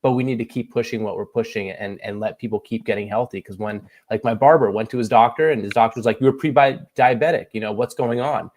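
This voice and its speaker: A man in his thirties talking earnestly on a casual panel chat, acting out the voices of people he quotes. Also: mid-range voice. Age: 30s. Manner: earnest, quick and animated, acts out quoted voices. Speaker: podcast guest.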